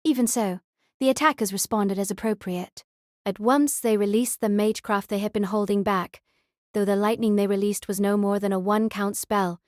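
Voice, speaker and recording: A woman's voice: medium pitch, fairly even intonation, moderate pace, darkish tone, dry recording, studio quality. A woman reading scripted audiobook narration of a story as its narrator.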